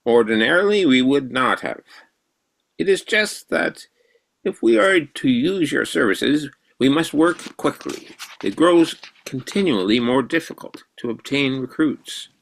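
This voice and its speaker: A man playing a grave, self-assured old mathematician in a radio drama, measured and probing. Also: medium pitch, animated, creaky, slight background noise. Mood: grave, brisk. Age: older.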